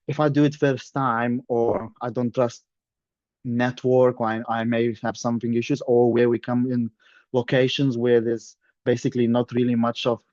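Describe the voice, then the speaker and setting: A man's voice: mid-range voice, darkish tone, no echo. A man in his thirties from Lithuania with a Lithuanian accent, giving a chatty talk on a video call in broken English and acting out bits of dialogue. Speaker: geospatial surveyor giving a talk. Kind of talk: informal presentation on a video call. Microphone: video call microphone. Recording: dull, dry video call audio.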